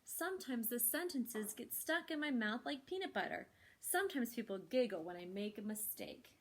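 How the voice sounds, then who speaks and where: A woman's voice: fairly high pitch, very animated, normal speed. A woman voicing an earnest, anxious young girl who is a reluctant reader in a storybook, with emphatic, simple phrasing. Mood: discouraged, wistful.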